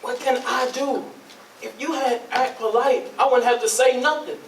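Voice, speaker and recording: A man's voice: extremely high pitch, steady tone, moderate pace. Man acting coaxing Southern sweetheart, roomy sound. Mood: placating. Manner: coaxing Southern folk dialect. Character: smooth-talking, placating male sweetheart, easily hurt. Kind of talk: staged play reading. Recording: roomy, distant mic, noisy.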